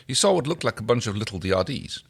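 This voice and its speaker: A man's voice: fairly low pitch, sing-song, fast, creaky, slightly rough. A man co-hosting a fan fiction reading, narrating informally with casual chat. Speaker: podcast co-host reading fan fiction aloud.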